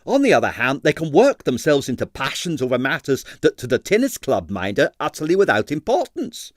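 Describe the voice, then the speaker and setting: A man's voice: medium pitch, huge pitch swings, a little fast, dry recording, studio quality, voice pushed up. A man voicing a sour, disdainful doctor who loathes bad ballet, emphatic and blandly scathing, for an audiobook. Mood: opinionated. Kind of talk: audiobook character voice.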